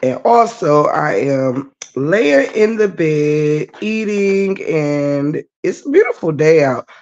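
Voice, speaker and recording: A woman with a low, gravelly voice that is extra scratchy today, speaking slowly and easily. She chats with her stream viewers from her bed and greets them one by one. The audio sounds dull and lo-fi. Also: lively intonation, darkish tone. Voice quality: low-toned voice. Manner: laid-back, chatty, greets viewers by name. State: scratchy voice after a night of singing. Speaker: livestream host. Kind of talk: casual chat greeting viewers. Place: lying in bed at home.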